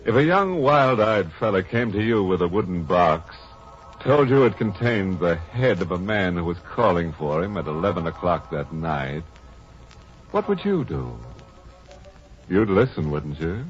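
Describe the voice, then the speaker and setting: A man's voice: low voice, sing-song, a little slow. A man hosting an old-time radio horror show, addressing the listener in a wry, conspiratorial way. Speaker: host and narrator. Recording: muffled vintage radio recording, faint music.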